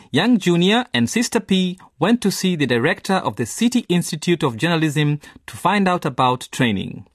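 Male narrator for educational radio drama intros: inviting, lively intonation, dry studio sound, storytelling delivery. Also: on the high side, no room sound. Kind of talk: scripted narration.

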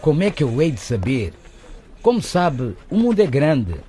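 A man's voice: on the high side, sing-song, moderate pace, mellow, husky. A man acting in a radio drama as a shifty, overly polite stranger who evasively feigns innocence. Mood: sly, evasive. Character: shifty adult male stranger feigning innocence to frightened children.

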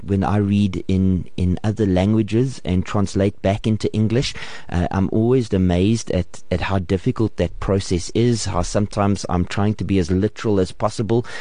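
Mellow low guy running a panel, lots of i-i-i restarts. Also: no room sound. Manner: stammering, repeats words. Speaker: host. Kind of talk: panel discussion.

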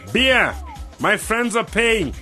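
An actor playing a pompous, self-important government official in a radio drama. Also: male voice, sits high, sing-song, slow, sharp tone, faint music behind, full character voice. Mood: relaxed, bossy.

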